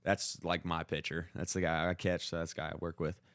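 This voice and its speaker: A man's voice: sits low, flat delivery, brisk, fairly bright tone, no echo, clean studio sound. A young American man telling sports stories in casual, slangy talk peppered with "like," as a podcast co-host. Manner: informal, slangy, heavy use of 'like'. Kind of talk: casual sports chat, storytelling.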